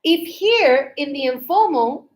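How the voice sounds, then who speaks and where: Slow, high-voiced woman teaching. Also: expressive. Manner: slow, clear explaining, acting out sample dialogues. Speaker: English teacher. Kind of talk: English lesson for learners.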